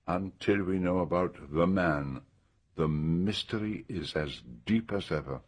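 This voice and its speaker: Casting: a grave radio-drama detective, low and husky, formal, deliberate, probing questions. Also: male voice. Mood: pensive. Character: methodical, probing older male detective, calm and grave.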